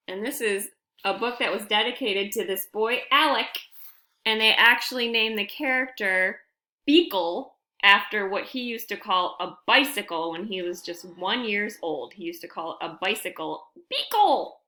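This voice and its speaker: A woman reads a children's storybook aloud in an expressive, deliberate way. Her pitch swings widely and her pace is unhurried, with friendly asides to young listeners. The room adds a noticeable echo.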